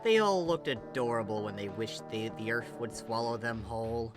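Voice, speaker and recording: Expressive story reader over music. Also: mid-range voice, moderate pace. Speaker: fan fiction reader. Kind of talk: expressive scripted story narration with character voices. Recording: music behind the voice.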